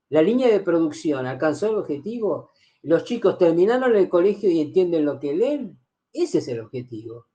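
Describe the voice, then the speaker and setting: Lively male lecturer. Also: high voice. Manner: explanatory, repeats key phrases, asks students rhetorical questions. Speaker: university lecturer. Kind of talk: university lecture.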